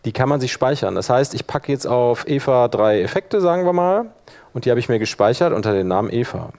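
A man's voice: mid-range voice, animated, fairly quick, a little mellow. Male workshop presenter, colloquial, talks through each step as he demonstrates, thinking aloud. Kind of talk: informal software tutorial, demonstrating step by step.

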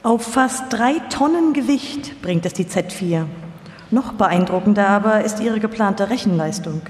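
A woman's voice: medium pitch, expressive, a little slow, a little mellow. A woman delivering scripted narration as a narrator in a live documentary radio feature. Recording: echoey hall, live stage performance.

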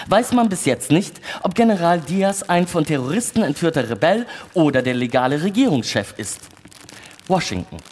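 An actor in a staged radio play reading, playing a chatty male mission radio operator with a newsreader's delivery that can turn breezy. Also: fairly high pitch.

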